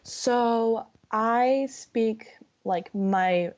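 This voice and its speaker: A woman's voice: on the high side, drawn-out words, darkish tone. A woman with a Californian American accent, chatting casually as a podcast guest over an internet call. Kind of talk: casual chat. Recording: slightly dull internet call audio.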